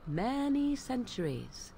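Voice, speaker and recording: An actress playing an aloof, sardonic young witch in a video game, speaking in formal, archaic, measured phrases. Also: fairly high pitch, big pitch swings, mellow, slight background noise, exaggerated voice. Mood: measured storytelling. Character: aloof, sardonic young witch of the wilds, raised an outcast apostate. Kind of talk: dramatic video game voice acting.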